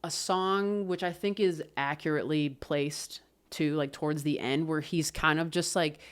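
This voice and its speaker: A woman casually talking through an album review, loose and expressive, swearing and laughing often. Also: low-pitched, normal speed, clear, resonant tone. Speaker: music reviewer.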